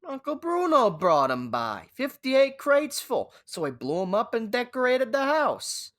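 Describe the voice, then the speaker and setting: Woman as a high, lively mobster's kid. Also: measured pace. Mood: breezy. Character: breezy, oblivious teenage child of a mobster. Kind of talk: comic radio play acting.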